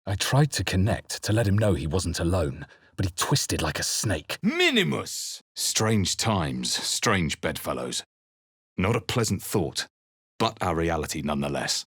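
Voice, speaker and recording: A fantasy game hero with a low, husky voice. He is earnest and wry, telling his quest with measured, brooding drama in a close, dry sound. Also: very animated, fairly bright tone, no room sound, heavily acted. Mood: incanting, forceful, then grim, brooding. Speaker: video game player-character voice actor. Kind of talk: dramatic game narration and short character barks.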